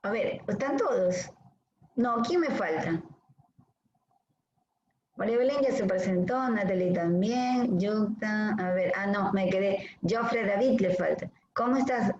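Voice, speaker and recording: A woman from Guayaquil, a lecturer briskly calling the roll in an online class over a video call. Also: medium pitch, darkish tone. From Guayaquil, Ecuador. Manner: brisk, friendly, calling names. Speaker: dermatology lecturer. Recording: echoey dull video-call audio with faint hiss.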